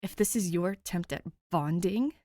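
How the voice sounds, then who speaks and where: A woman voicing a guarded, quick-tempered boy with a terse, halting delivery in a fan fiction reading. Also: lowish voice, mellow, dry recording, clean studio sound, close to an everyday voice. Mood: guarded, angry.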